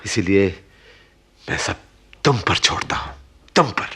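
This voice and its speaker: An actor playing a principled, grieving middle-aged uncle in a radio drama, speaking in a husky, emphatic, preaching manner. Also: male voice, sits low, animated, a bit bright, exaggerated voice. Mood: grave, restrained. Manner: emphatic, deliberate, preaching with repeated phrases. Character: grieving, principled uncle, stern and morally earnest. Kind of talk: broadcast radio drama acting.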